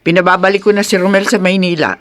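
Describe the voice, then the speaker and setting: A woman plays a flustered middle-aged Filipino aunt in a radio drama. She sounds hesitant and anxious to placate, with clear noise behind her. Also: on the low side, steady tone, quick pace, lightly acted. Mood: flustered, placating. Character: nervous, worried aunt placating a stern neighbour.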